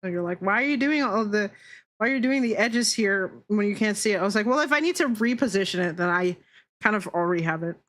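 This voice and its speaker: A woman's voice: mid-range voice, animated, fast talker, a bit bright. A woman streamer chatting casually while she draws, with a teasing, playful way of talking. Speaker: VTuber streamer. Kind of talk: casual livestream chat while drawing.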